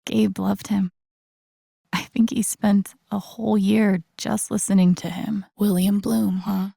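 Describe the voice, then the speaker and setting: An actress playing a friendly, wry and earnest young woman in a video game, talking in a casual, gently teasing way. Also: medium pitch, flat delivery, a little slow, vocal fry, slightly rough, dry recording, clean studio sound, full character voice. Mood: friendly, curious. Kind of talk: naturalistic video game dialogue acting.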